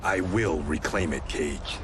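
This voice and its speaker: A man's voice: a bass voice, slow pace, background noise. An actor playing a grim male ninja clan leader, speaking in a stern, terse, intense way. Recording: game cutscene with dark music behind.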